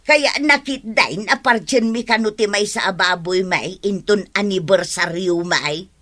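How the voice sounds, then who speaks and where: A woman's voice: medium pitch, a little slow, fairly bright tone, lightly acted. A woman with an Ilocano accent playing a stingy, argumentative village wife who complains in a comedy radio drama. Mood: dismissive. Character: stingy, grumbling village wife, hard to persuade.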